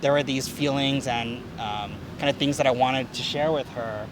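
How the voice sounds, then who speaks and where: A man's voice: medium pitch, normal speed. American man, hesitant, busy street. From United States. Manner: hesitant, many fillers and restarts. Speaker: interviewee. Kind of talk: casual outdoor interview. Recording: street noise with traffic.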